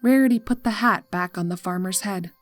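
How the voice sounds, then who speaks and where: A woman narrator reading a scripted horror story in a measured, dramatic way. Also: mid-range voice, soft background music.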